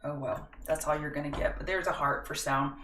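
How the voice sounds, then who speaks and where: A woman's voice: low-pitched, fairly even intonation, brisk, fairly bright tone, far from the mic, a little hiss or hum. A middle-aged woman hosts a live stock market stream, chatting with viewers in a folksy way. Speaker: stock market livestream host. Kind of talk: casual market analysis livestream. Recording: roomy echo, set back from the mic.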